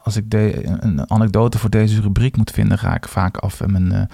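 Man, lowish voice, halting casual podcast chat, dry sound. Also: little pitch movement, creaky, warm tone, no room sound. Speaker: podcast co-host.

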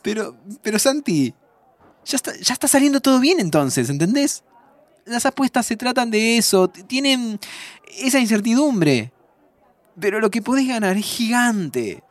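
An argentine best buddy, high voice, bouncy delivery, reassuring n pushy, natural drama dialogue. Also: male voice, normal speed, no echo. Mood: reassuring, insistent. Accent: Argentine, Buenos Aires. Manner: animated, insistent, interjecting. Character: upbeat, reassuring male best friend, a little teasing.

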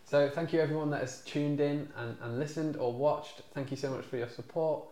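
A man co-hosting a faith podcast, measured and friendly as he asks questions and signs off. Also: a little high, fairly even intonation, mellow. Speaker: podcast co-host. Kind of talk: casual chat and sign-off. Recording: roomy echo.

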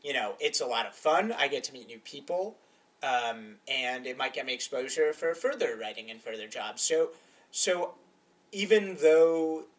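A man's voice: mid-range voice, animated, distant mic. A man in his mid-twenties co-hosting a podcast, easygoing and agreeable in casual chat. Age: 20s. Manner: easygoing, agreeable, quick to say yeah. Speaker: podcast co-host.

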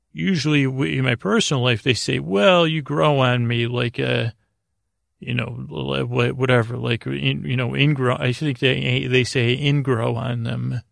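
A man hosting a sleep podcast, rambling in a lulling, soothing, creaky voice, halting and repeating himself as he over-explains. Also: medium pitch, fairly bright tone, dry recording, studio quality. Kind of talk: rambling bedtime podcast intro.

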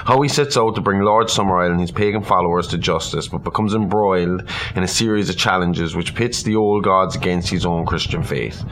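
A man's voice: low voice, fairly bright tone. A man co-hosting a podcast, recapping a film story in an informal, casual way. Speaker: podcast co-host. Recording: clear background room noise.

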